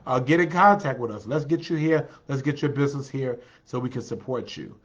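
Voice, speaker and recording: A man's voice: mid-range voice, fairly quick. Male livestream host, congested and nasal, chatty, plenty of fillers, dull lo-fi sound. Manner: chatty and encouraging, with many fillers. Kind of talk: casual livestream chat greeting viewers.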